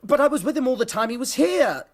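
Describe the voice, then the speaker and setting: An actor in a period radio drama playing an earnest, anxious British doctor, formal, conciliatory and precise. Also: male voice, extremely high pitch, animated, fast, heavily acted. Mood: flustered, placating. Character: earnest, anxious, well-mannered Victorian male doctor.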